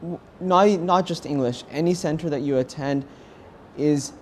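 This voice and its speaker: A young man with an American accent giving a personal testimony in an earnest, halting way with pauses. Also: a little high, a little hiss or hum. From California, United States. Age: young adult. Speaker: university student interviewed as a pilgrim.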